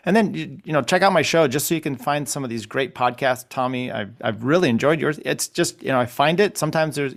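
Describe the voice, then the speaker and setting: Gravelly guest man, halting talk. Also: mid-range voice. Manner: rambling, halting, with many restarts. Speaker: guest, family law attorney. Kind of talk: casual podcast chat. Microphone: remote call.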